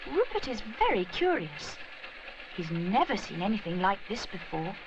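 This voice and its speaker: A woman narrating a scripted children's story in an expressive, measured storytelling manner. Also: medium pitch, highs cut off, noisy background.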